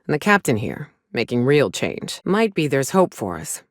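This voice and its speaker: A woman's voice: rumbling low, big pitch swings, normal speed, dry recording, clean studio sound. An actress playing a jaded, hard-drinking frontier mercenary woman in a video game, blunt, sardonic and defiant, with salty phrasing. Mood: grim, reflective. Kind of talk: video game character dialogue.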